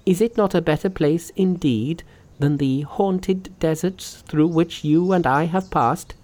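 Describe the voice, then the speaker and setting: A man's voice: a little high, measured pace, a little mellow, pitched above the performer's own voice, close to an everyday voice. A man voicing a clever, cheerful monkey from a storybook, confident and emphatic in his reassurance. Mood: earnest, uplifted. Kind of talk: storybook character voice.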